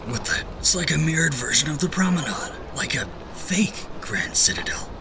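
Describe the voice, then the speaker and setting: A man playing a blunt, skeptical traveling companion in an audio drama, hushed and irreverent. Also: a little high, sing-song, moderate pace, background noise. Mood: bewildered.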